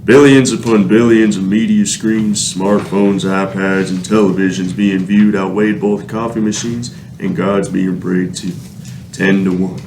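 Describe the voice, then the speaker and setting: A man's voice: low-pitched, steady tone, a little slow, a little mellow, distant mic, slight background noise. A man narrating a scripted comic radio play, recorded in a roomy space away from the microphone.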